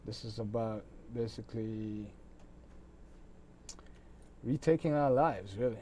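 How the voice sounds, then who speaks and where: A middle-aged man speaking as a studio guest, explaining things in short phrases with many pauses. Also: lowish voice, dark tone, a little hiss or hum. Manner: halting, pausing between short phrases. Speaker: talk show guest. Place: small TV studio with curtains.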